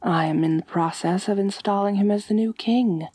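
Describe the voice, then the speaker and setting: A woman playing an ancient, wealthy vampire mistress in an intimate ASMR roleplay, genteel and measured, doting yet gently dominant, with a soft breathy voice. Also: medium pitch, dry recording, lightly acted. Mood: poised, matter-of-fact.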